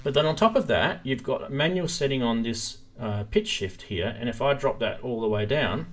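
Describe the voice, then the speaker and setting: A man's voice: mid-range voice, lively intonation, moderate pace, faint music behind. A man hosting a live stream, giving a casual, rambling explanation and demonstration of gear into a stream microphone. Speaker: host of a synthesizer live stream. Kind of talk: casual live product demo.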